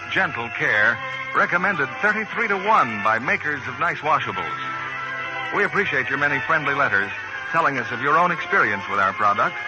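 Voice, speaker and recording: A man reading scripted closing announcements as a 1940s radio announcer, formal and measured. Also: medium pitch, rough recording. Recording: vintage 1940s radio broadcast. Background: loud music.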